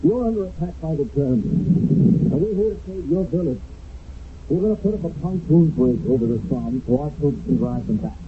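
Actor as brash American soldier, tinny old radio. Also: male voice, a little high, expressive, brisk, slight background noise, lightly acted. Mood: indignant. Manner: colloquial, protesting, incredulous. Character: brash, exasperated American soldier in wartime France. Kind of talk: radio drama acting.

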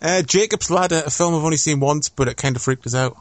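A man's voice: a little high, fast, no echo. A young man co-hosting a podcast, blunt and jokey, swearing a lot as he riffs. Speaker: podcast co-host. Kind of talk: casual chat.

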